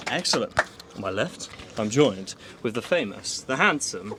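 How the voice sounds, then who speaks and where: A man's voice: fairly low pitch, big pitch swings, normal speed, a bit bright, slight background noise. A man hosting a casual podcast with playful, mock-grand announcer patter. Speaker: podcast host.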